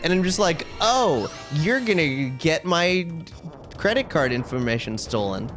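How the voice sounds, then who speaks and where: A man's voice: fairly high pitch, very animated, unhurried, fairly bright tone, faint music behind. A man livestreaming a video game, chatting casually with viewers and commenting on play in a wry, ranting way.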